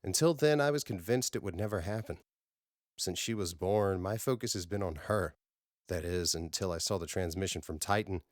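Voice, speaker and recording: A confident leader of a space crew, voiced by a male narrator in a science fiction audiobook. He sounds earnest and formal, in a low voice with a dry recording. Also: moderate pace, no room sound, close to an everyday voice.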